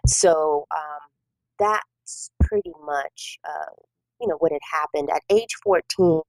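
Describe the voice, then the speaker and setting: A middle-aged woman from South Korea, a native Korean speaker, sharing her personal testimony as a guest in an interview, speaking softly with many pauses. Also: low voice, no room sound, poor audio. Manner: soft-spoken and measured, many pauses and fillers. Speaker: guest minister.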